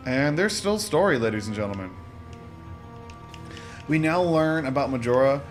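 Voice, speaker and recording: A man's voice: medium pitch, expressive, normal speed. A young man hosting a let's-play, chatting casually and rambling with lots of fillers and self-corrections. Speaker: gaming channel host. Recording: game music playing behind voice. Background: video game music.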